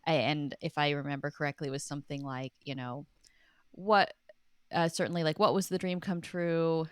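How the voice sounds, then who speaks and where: Casting: woman interviewee, deep-toned and smooth, chatty, earnest, reflective storyteller. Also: steady tone, moderate pace, dry recording, clean studio sound. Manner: earnest, chatty, laughs easily. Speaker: podcast guest, writer and documentary producer.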